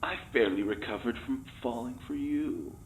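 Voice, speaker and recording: A man's voice: mid-range voice, moderate pace, close to an everyday voice, low-quality recording. An actor playing a cheeky, flirtatious male test subject in a comedic audio drama, teasing and melodramatic in his delivery. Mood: melodramatic wooing.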